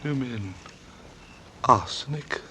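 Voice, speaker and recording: An actor playing a chummy, cautious English bachelor friend, clipped and a little hesitant, in 1940s radio suspense style. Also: male voice, mid-range voice, slow pace, sharp tone. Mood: worried. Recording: noisy vintage radio drama recording.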